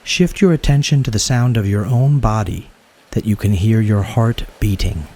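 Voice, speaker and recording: Low, mellow, husky male meditation guide. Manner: soothing, unhurried instruction in short phrases. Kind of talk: guided yoga nidra meditation.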